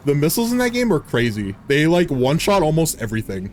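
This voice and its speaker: A man in his thirties, a game streamer giving casual, excitable, jokey commentary while playing and laughing often. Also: a little high, quick pace, a little hiss or hum. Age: 30s. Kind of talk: casual live gameplay commentary.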